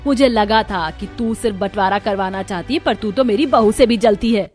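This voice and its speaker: A woman's voice: mid-range voice, moderate pace, a little mellow, soft background music, natural voice. Woman as a spiteful, scolding older mother-in-law. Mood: furious.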